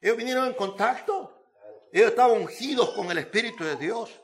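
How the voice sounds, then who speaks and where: High-pitched man preaching emphatically. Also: animated, brisk. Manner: emphatic, repetitive, rhetorical questions and phrases. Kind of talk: sermon.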